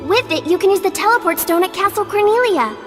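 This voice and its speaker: Casting: small fairy companion in a fantasy game, piercingly high, excitable and exclamatory, heard in a reverberant hall. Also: female voice, fast talker, faint music behind. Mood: explaining. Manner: chirpy, exclamatory, punctuates speech with a verbal tic. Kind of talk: video game cutscene acting.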